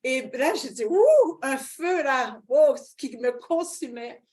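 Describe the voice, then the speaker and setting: Fervent woman, high voice. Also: takes it slowly. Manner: fervent, expressive, with frequent fillers and laughs. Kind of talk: personal faith testimony.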